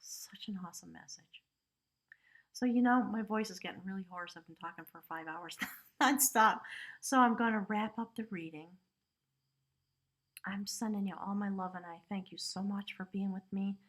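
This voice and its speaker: Woman, tarot reader, casual spiritual talk, frequent "right?" asides, middle pitch. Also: normal speed. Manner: chatty, warm asides with frequent "you know" and "right?".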